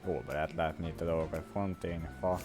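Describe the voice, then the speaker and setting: A man's voice: very deep voice, steady tone, fast. A Hungarian man giving casual commentary as he plays through a video game. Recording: game music behind the voice. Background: game music.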